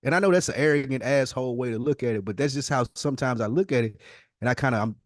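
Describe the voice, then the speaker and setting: A man's voice: mid-range voice, quick pace, no echo, clean studio sound. A man co-hosting a podcast, giving his opinions casually in blunt, slangy talk with some swearing. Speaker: podcast co-host.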